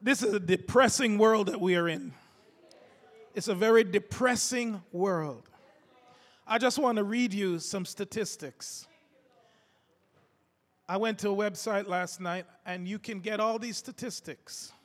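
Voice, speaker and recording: A man preaching a sermon to his congregation, his voice gravelly from a cold, fervent and calling out to the listeners. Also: sits high, measured pace, long pauses. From United States. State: has a cold. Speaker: pastor. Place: church sanctuary. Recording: echoey hall reverb.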